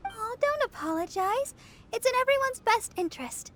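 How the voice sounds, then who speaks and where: A woman's voice: very high-pitched, lively intonation, moderate pace, very noisy. A woman voicing a sweet, caring teenage girl in a video game, kindly, earnest and encouraging. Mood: cheerful.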